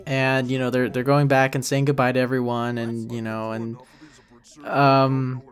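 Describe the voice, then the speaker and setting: A man's voice: mid-range voice, flat delivery, a little slow. A man giving casual, unscripted commentary as the creator of a series, rambling and thinking aloud. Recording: music behind the voice. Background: music and audio of the episode.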